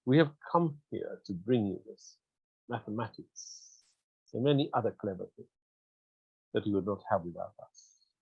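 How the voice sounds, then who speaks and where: A man voicing a condescending, sardonic German colonial officer, lecturing deliberately in a literary reading. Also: on the low side, lively intonation, creaky, breathy edge, dry recording, lo-fi sound, full character voice.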